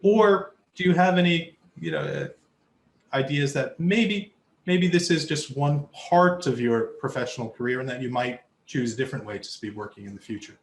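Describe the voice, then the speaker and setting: A man's voice: medium pitch, moderate pace, a little hiss or hum. Male host in an echoey room. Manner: conversational, searching for words. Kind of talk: interview question.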